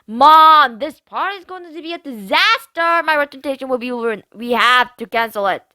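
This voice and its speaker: A woman's voice: sits high, expressive, moderate pace, sharp tone, no room sound, pitched above the performer's own voice. A woman reading a storybook aloud, voicing a spoiled, dramatic teenage girl who whines and complains. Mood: whining. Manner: whiny, dramatic, self-pitying.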